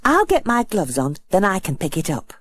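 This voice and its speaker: A woman's voice: medium pitch, big pitch swings, moderate pace. A woman voicing a practical, knowing female character in a children's storybook, explaining things confidently. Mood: matter-of-fact.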